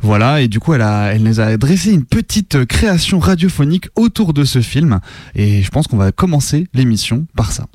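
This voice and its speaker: A man's voice: mid-range voice, big pitch swings, brisk, a little mellow, no echo. A man with a mid-range voice presents a late-night radio show. He talks casually, rephrasing as he goes, in a dry studio. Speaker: radio co-host.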